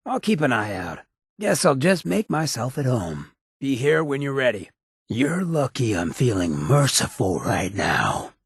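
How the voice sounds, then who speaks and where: An actor voicing a sly, swaggering outlaw mayor in a video game, with a gravelly rasp and cocky, streetwise, slangy drawl. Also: male voice, mid-range voice, a bit bright, no room sound, clean studio sound, full character voice. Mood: approving, easygoing, then disgusted, scolding. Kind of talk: video game companion dialogue.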